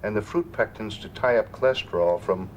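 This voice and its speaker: A very low-voiced male doctor played by an actor in a scripted dramatization. He explains in a calm, measured, formal manner, with faint background noise behind him. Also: muffled recording, close to an everyday voice. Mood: calm, instructive. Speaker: actor playing a physician.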